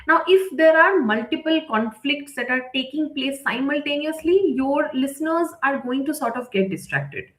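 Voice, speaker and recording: A woman with a fairly high voice leads an online writing lesson. She explains off the cuff, stressing points by repeating them and checking in as she goes. The sound is noticeably echoey and roomy. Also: a bit bright. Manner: unscripted, emphatic with repetition and check-in tags. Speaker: writing workshop instructor.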